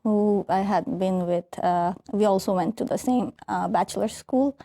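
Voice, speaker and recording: A young adult woman from Bangladesh, a recent architecture graduate, answering interview questions softly with some hesitant fillers. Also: medium pitch, normal speed.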